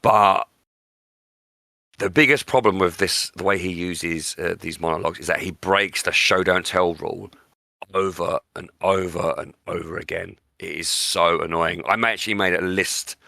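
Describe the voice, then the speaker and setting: A man's voice: sits low, fairly even intonation, normal speed, creaky, bright, forceful tone, no echo, clean studio sound. A man hosting a podcast, discussing books in an opinionated, emphatic way and repeating words for stress. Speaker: podcast host.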